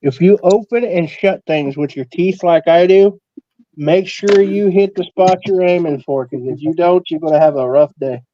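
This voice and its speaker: A man's voice: high voice, moderate pace, dark tone, no room sound, lo-fi sound. A man co-hosting a casual livestream, joking in an offhand way with viewers.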